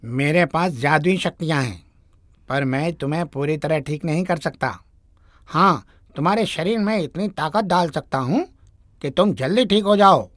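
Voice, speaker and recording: A woman voicing a kind, reassuring young magical man in an animated story. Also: low-pitched, normal speed, no room sound, clean studio sound. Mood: earnest. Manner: calm, reassuring. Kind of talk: Hindi animated moral story acting.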